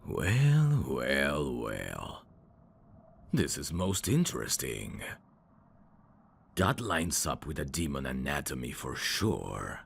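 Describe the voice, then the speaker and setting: A man playing a suave, teasing demon in an intimate ASMR roleplay, courteous and flirtatious, with a gravelly voice. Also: a bass voice, huge pitch swings, takes it slowly, a little hiss or hum, heavily acted. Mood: intrigued, teasing. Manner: smooth-talking, flirtatious, formal phrasing with hesitations. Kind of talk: intimate ASMR roleplay acting addressed to the listener.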